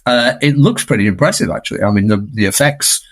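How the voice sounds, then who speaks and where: A man's voice: medium pitch, fast, fairly bright tone. A man giving conversational film analysis as a guest commentator, talking in a discursive, emphatic way.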